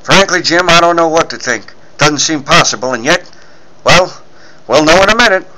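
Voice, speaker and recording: A man's voice: a little high, expressive, normal speed, bright, forceful tone, poor audio. A man playing a bossy, skeptical newspaper chief, emphatic and blustering, in 1940s radio serial style. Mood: baffled.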